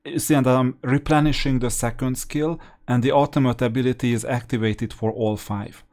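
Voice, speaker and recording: A man's voice: mid-range voice, steady tone, normal speed, a little mellow. A man narrating a video game with casual, matter-of-fact commentary, explaining strategy as he plays. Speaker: host of a gaming strategy channel.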